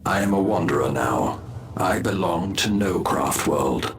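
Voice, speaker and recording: Bitter elf wanderer for a game cutscene: deep, dry, brooding, world-weary. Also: male voice, expressive, a little slow, a little hiss or hum. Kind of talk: video game cutscene acting.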